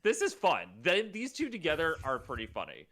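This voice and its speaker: A woman's voice: low-pitched, wildly swooping pitch, fairly quick, fairly bright tone. A woman co-hosting a podcast in casual group banter, with other people's chatter around the voice. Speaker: podcast co-host.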